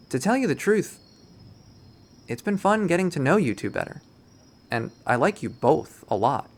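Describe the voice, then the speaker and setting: Man playing a young college guy, visual novel hero, nervous, earnest, halting, eager. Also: mid-range voice, lightly acted. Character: nervous, earnest young male college student, awkwardly hopeful.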